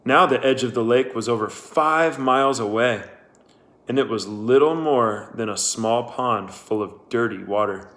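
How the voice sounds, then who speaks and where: A man's voice: medium pitch, unhurried, fairly bright tone. A man reading a novel aloud as its narrator. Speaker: audiobook-style narrator.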